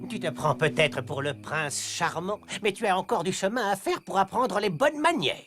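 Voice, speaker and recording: Animated, fussy, sensible sidekick. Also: male voice, high-pitched, moderate pace. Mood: scolding, worried. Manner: reasoning, admonishing. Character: sensible, fussy male travelling companion, worrier, gently scolding. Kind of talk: animated fairy-tale dubbing. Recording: music behind the voice.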